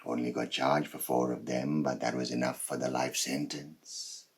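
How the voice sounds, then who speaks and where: A man performing a dramatic monologue as a cold, boastful psychopathic killer, menacing and deliberate, with dramatic pauses and swells. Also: very deep voice, little pitch movement, vocal fry, husky, full character voice. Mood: cold, matter-of-fact. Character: cold, boastful male psychopath serial killer telling his story.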